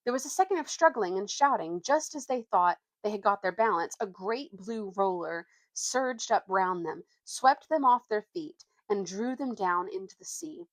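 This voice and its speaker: A woman reading a children's adventure book aloud as an expressive storyteller. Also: mid-range voice, moderate pace, hardly any pauses, dry recording.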